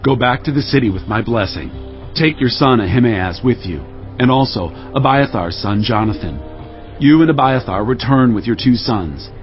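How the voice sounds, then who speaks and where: A man acts a grave king of ancient Israel in a medium-pitched voice, earnest and commanding yet humble. It is biblical audio drama, slightly lo-fi, with music underneath. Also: animated, normal speed, natural voice. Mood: instructing. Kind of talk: audio Bible drama acting. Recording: dull lo-fi audio with background music.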